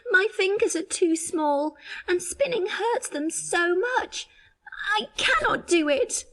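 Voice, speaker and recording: A miserable little fairy-tale girl, as a male narrator puts her on, whining and tearful. The voice is extremely high and drags along slowly. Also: expressive, pushed and bright, exaggerated voice, low-quality recording. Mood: tearful complaint. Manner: whiny, tearful. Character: miserable, whining young girl who hates spinning.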